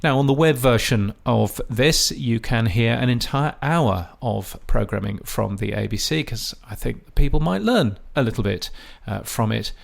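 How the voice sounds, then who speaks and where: A man with a gravelly edge to his mid-pitched voice delivers scripted podcast commentary. His delivery is measured and dry. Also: expressive. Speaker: podcast host. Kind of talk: scripted radio-industry commentary.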